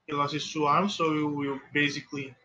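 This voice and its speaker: A man with a Portuguese accent, a native Portuguese speaker, commentating his own speedrun live in halting English that often restarts mid-phrase. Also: on the high side, little pitch movement, pushed and bright, dull audio.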